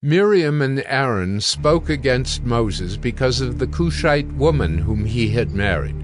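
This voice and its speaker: A man's voice: mid-range voice, animated, measured pace. Man narrating scripture over music. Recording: music bed under the voice.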